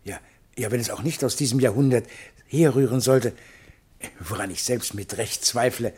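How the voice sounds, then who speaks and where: An actor playing a pompous, long-winded scholar who lectures in florid style in a radio play, with a husky voice. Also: male voice, mid-range voice, moderate pace, a little mellow, close to an everyday voice. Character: pompous, long-winded male scholar showing off paintings to a prince. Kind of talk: German radio play acting.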